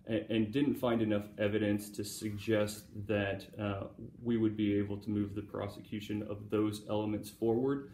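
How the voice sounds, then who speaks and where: Flat, halting male official in an echoey room. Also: on the low side. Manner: procedural, halting with many pauses. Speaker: city building official. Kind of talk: formal hearing testimony. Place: indoor public hearing room. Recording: echoey room, faint background noise.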